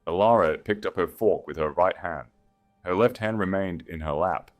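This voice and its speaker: A man narrating dramatic fiction from a script in a measured, solemn manner. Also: sits low, faint music behind. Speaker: audiobook narrator.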